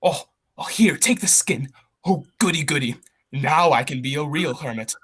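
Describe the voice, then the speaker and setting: A man's voice: fairly high pitch, moderate pace, fairly bright tone, husky. A man playing an eccentric, people-hating hermit who poses as a lion in a comedy radio play, on a very noisy, clicky home recording. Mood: giddy, fussy.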